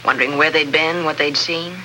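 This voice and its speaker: An old, faintly noisy radio drama recording carries a guarded woman archaeologist. Her voice is very bright and forward, and she speaks in a composed, formal, businesslike way. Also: deep voice, fairly even intonation, brisk. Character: guarded, businesslike female archaeologist plotting a desert revolt. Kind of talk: 1950s radio drama acting. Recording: vintage 1950s radio recording, faint noise.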